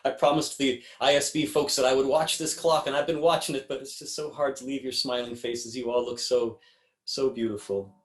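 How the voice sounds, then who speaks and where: A man, a singer-songwriter, chatting warmly and apologetically to his audience between songs. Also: mid-range voice, fairly even intonation, fairly quick. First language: English. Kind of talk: live concert talk between songs. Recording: roomy with guitar music.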